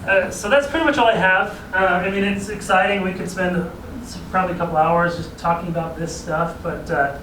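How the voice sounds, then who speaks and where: Man briefing a council, slightly high voice. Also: fairly even intonation, a little fast, hardly any pauses. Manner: explanatory, easygoing, chuckles at own asides. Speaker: regional transportation planning staff presenter. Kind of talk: informal briefing to a city council. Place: city council chamber. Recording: echoey chamber, distant mic, clear background noise.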